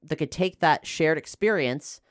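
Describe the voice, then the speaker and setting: A man from Florida with an American accent, talking casually and explaining things in a halting way, often correcting himself as he thinks aloud. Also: a little high, fairly bright tone, dry recording, studio quality. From Florida, United States. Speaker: actual play podcast player and co-host.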